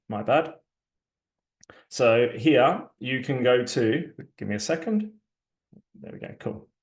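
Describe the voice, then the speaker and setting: A man hosting a community launch, talking casually through a shared screen, loose and chatty with many fillers and restarts. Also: on the high side. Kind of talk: casual live walkthrough, screen sharing. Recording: echoey room sound.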